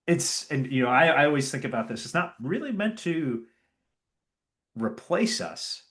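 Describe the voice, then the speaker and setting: A man's voice: on the high side, sing-song, unhurried, a bit bright. A man hosting a casual interview, enthusiastic and affirming, who often repeats words as he starts a thought. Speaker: podcast host.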